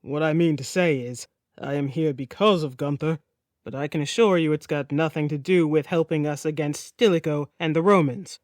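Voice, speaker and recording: A blunt warrior prince from a historical saga, acted out by a woman narrator. He speaks gruffly and can turn evasive. The recording is dry and close, with no room around the voice. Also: deep voice, normal speed, darkish tone, no echo, pitched below the performer's own voice, natural voice. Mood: awkward, evasive.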